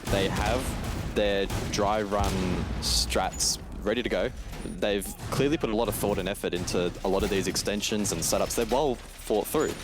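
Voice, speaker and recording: A man's voice: on the low side, normal speed, over music. A man giving live commentary and analysis on a shooter match in an analytical, emphatic way. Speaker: esports commentator. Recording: clear noise with game gunfire behind. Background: game gunfire and clicks.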